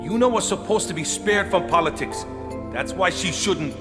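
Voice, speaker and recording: A man's voice: high voice, expressive, normal speed. Blunt, protective man, echoing. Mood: irritated. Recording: echoey hall reverb with music.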